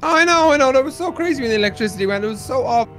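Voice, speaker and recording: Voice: high voice, expressive, very fast, fairly bright tone, soft background music. A performer voicing a cheeky, gushing little brother puppy with a giggly manner in a Minecraft roleplay. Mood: delighted.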